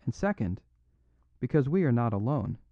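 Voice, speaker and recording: A man's voice: mid-range voice, very animated, normal speed, no echo, narrow, tinny audio. A man doing a mild little apologetic priest. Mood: gentle. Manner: unaffected, gently apologetic.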